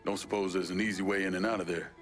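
An actor playing a gruff, hardened young male war veteran in a video game, terse and dry. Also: sits low, fairly even intonation, very fast, a bit bright. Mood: businesslike. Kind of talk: video game drama acting. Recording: game music under dialogue.

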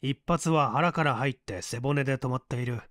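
A man playing a calm tough guy who lectures and commands in a leisurely, cool manner in an audiobook story. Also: mid-range voice, moderate pace, no echo, studio quality, lightly acted. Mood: stern, unhurried. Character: calm, unhurried tough man coolly lecturing his beaten foe.

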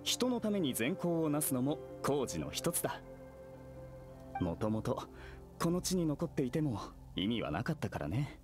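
A mysterious, wry gentleman in an anime game. He speaks politely and formally in a mid-pitched voice with lively intonation, over music. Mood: gracious, resigned. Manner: polite, measured, old-fashioned. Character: courteous, wry, mysterious gentleman haunting an old temple.

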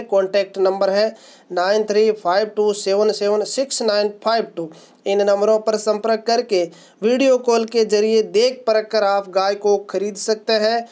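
Man, livestock sale voice-over, channel host, steady listing sales patter. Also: high voice.

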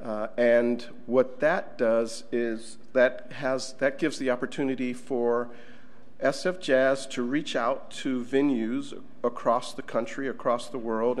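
A middle-aged man giving a conference presentation in a casual, conversational way, with fillers, at a table microphone in a conference room. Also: medium pitch. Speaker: conference speaker.

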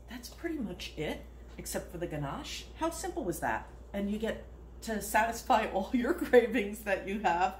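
A woman in her sixties hosting a casual home cooking demonstration in her kitchen, chatty and rambling, laughing often, recorded on a nearby phone. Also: mid-range voice. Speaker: home cooking video host. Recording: echoey kitchen with background noise.

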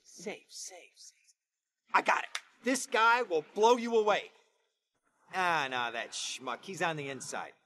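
An actor playing a jittery, whiny, hyper crooked lawyer in a video game, babbling and repeating himself excitedly. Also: male voice, sits high, unhurried, pauses often, a little hiss or hum. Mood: eager, flustered. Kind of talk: video game cutscene acting.

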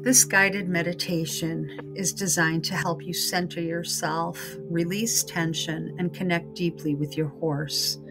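Slow, low-pitched woman guiding meditation over music. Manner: calm, unhurried, soothing instruction. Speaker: meditation guide. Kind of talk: scripted guided meditation narration.

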